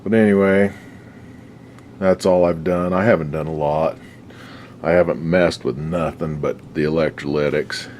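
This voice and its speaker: A man's voice: very deep voice, steady tone, moderate pace, a little mellow, a little hiss or hum. A man restoring an old radio at his workbench, giving rambling, folksy commentary with a lot of swearing. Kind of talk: casual workshop commentary.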